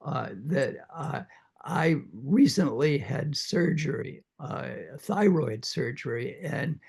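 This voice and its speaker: An older man with a croaky voice after throat surgery, lecturing informally to a reading group and pausing often with many uhs and restarts. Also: on the high side, expressive, a little mellow. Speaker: literature professor leading a reading group.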